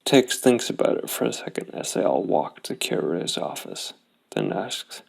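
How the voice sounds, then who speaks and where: A man's voice: fairly low pitch, very animated, normal speed, vocal fry, husky. A man narrating a solo tabletop role-playing game, thinking aloud and reasoning things out. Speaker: solo RPG player and host.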